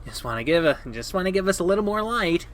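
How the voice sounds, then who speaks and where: A man's voice: sits high, big pitch swings, quick pace, a bit bright, slight background noise, voice pushed up. A man voicing an easygoing male friend in a visual novel, practical and helpful.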